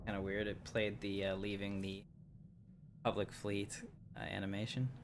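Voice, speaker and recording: A man's voice: on the low side, monotone, normal speed, background noise. A man hosting a game livestream, rambling loosely and chuckling as he plays. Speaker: livestream host. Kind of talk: casual gameplay commentary.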